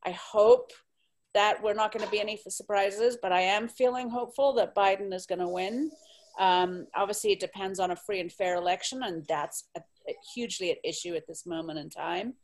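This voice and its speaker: A woman's voice: mid-range voice, a little fast, slight background noise. A woman from Zimbabwe speaking on a panel, candid and impassioned, blunt in her opinions. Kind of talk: panel discussion.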